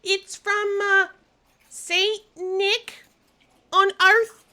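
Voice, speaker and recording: A squeaky, very high-pitched receptionist. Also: female voice, level tone, extremely slow, pushed and bright, full character voice. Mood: polite, hesitant. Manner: polite, hesitant. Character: squeaky, timid, polite female office receptionist. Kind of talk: audio drama acting.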